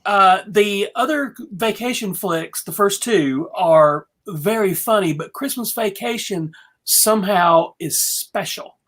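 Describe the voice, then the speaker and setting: Slow, halting woman panelist. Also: medium pitch, fairly bright tone. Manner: halting, searching for words. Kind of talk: casual panel discussion about films.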